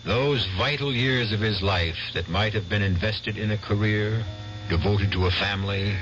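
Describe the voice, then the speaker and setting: A man giving scripted dramatic narration for a radio mystery, measured and ominous. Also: lowish voice, a bit bright, a little hiss or hum. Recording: dull lo-fi 1970s radio broadcast with music.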